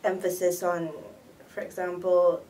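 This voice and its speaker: A young woman from Barbados, a student, answering interview questions in a relaxed, thoughtful way. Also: measured pace. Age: young adult. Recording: distant mic with faint rumble.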